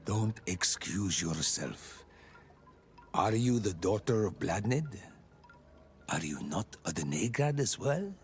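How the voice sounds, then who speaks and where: Game role: solemn healer elder, low register, strongly varied intonation, commanding, music underneath. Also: male voice, animated, takes it slowly. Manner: commanding, formal, emphatic. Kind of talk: video game cutscene acting.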